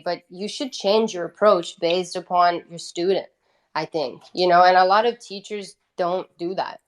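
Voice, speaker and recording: Low-pitched woman, chatting casually. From Italy. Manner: informal, frequent "you know" and "like", thinking aloud. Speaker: guest, independent singer-songwriter. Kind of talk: casual conversational interview storytelling.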